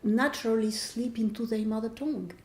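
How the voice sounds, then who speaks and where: French-accented woman author, mellow and thoughtful. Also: a little high, fairly quick. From France. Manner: thoughtful, searching for words, restarts phrases. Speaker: author being interviewed. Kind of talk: reflective conversational interview. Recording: echoey room.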